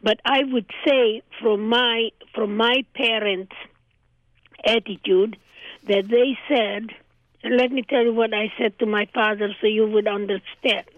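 An older woman from Transylvania, a native Hungarian speaker, recounting her childhood in an interview in measured, short phrases. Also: on the high side, steady tone, no room sound. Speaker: guest. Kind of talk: oral history interview. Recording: dry tinny phone line.

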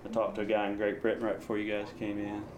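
Male radio hobbyist interviewee, lowish pitch, casual explainer, roomy sound with slight noise. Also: completely flat delivery, fast talker. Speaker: amateur radio operator being interviewed. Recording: roomy echo, some background noise.

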